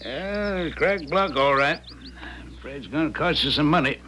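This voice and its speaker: An actor playing an unhurried small-town American garage man in a radio drama, folksy and plain-spoken. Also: on the high side, expressive, sharp tone, slight background noise. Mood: folksy, grave. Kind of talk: 1970s radio drama acting.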